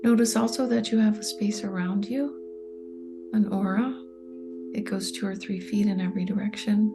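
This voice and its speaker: Calm woman meditation guide, breathy near-whisper, steady unvaried tone. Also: medium pitch, background noise. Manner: soft, slow and soothing, often near a whisper. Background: soft meditation music with singing bowl tones.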